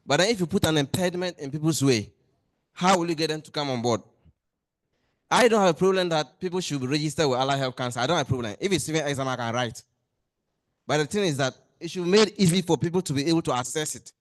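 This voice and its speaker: Earnest man at a meeting. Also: on the high side. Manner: earnest, pressing questions. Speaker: lecturer and association member. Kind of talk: raising a complaint at a meeting. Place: meeting hall.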